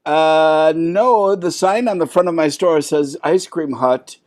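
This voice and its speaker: A man's voice: on the high side, slow, a little mellow. A man playing a flustered, exasperated ice cream shop owner interviewing applicants. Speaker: improv player.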